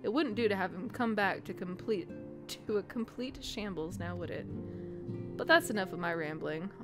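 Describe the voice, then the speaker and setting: A woman's voice: medium pitch, moderate pace, natural voice. A woman voicing a chatty, good-hearted helper of adventurers in a fantasy game. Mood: chatty, caring. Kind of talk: reading game dialogue aloud in character. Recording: voice over loud game music.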